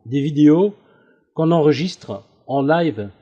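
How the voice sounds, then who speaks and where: A man with a mellow, relaxed voice speaks in a dull lo-fi call recording. He explains things casually but haltingly, feeling his way through each sentence. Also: fairly high pitch. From Italy. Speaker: debate room host. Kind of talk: casual phone conversation.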